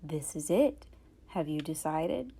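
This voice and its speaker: Woman as a gentle, firm storybook mother, measured. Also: deep voice, expressive, husky, voice pushed down, exaggerated voice. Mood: gentle, serious. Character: gentle but firm mother of a little boy.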